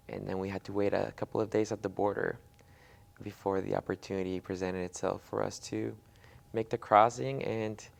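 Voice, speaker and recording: A man's voice: fairly low pitch, gravelly. A young man born in Mexico whose first language is Spanish, answering interview questions with many fillers and restarts. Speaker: guest.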